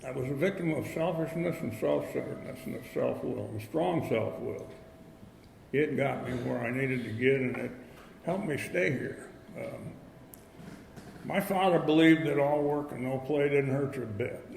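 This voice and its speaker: A man in his eighties from upstate New York, giving a folksy, halting talk at a recovery meeting, with many fillers and restarts. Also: medium pitch, vocal fry, darkish tone. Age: 80s. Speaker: AA speaker. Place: meeting hall. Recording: echoey hall, faint background noise.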